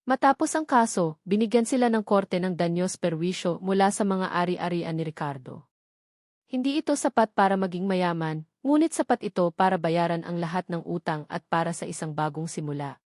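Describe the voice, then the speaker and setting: A woman with a Filipino accent reads a scripted romance story as narrator, with measured, expressive dramatic delivery. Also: fairly low pitch, dry recording, studio quality.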